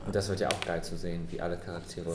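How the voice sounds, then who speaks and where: A man's voice: low voice, flat delivery, rapid-fire, heavy background noise. A young man, an actor, chatting casually in a group discussion. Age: young adult. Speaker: actor in a web series. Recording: echoey room, loud music bed. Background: music.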